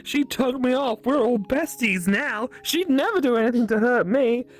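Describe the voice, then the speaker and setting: A woman's voice: on the high side, lively intonation, normal speed. A woman co-hosting a let's play with theatrical, teasing, bantering game commentary.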